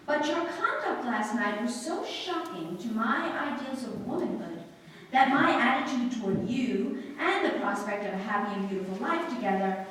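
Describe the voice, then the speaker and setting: A woman acting a flustered, high-strung young society bride in a theatrical, halting 1940s radio-play style. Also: big pitch swings, fairly bright tone. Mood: brittle, defiant. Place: live staged radio-play performance in a hall. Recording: roomy, echoey distant live stage recording, faint noise.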